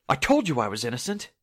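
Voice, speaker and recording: A man's voice: fairly high pitch, bright, forceful tone, breathy edge, heavily acted. A man playing a hesitant, deferential restaurant employee in a 1940s radio drama, polite in manner. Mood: alarmed.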